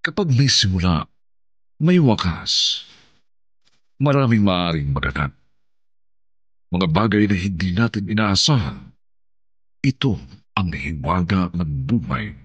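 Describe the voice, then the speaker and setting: Slow, solemn Filipino male radio narrator. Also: deep voice, big pitch swings, a bit bright, slightly rough, dry recording. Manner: solemn, proverbial. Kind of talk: dramatic scripted radio narration. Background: music.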